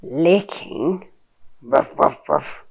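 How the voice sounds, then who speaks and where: A woman playing a delighted, playful picture-book reader for a baby, with sing-song, exaggerated, drawn-out words. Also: sits low, vocal fry, a little mellow, breathy edge, heavily acted. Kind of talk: picture-book read-aloud for babies.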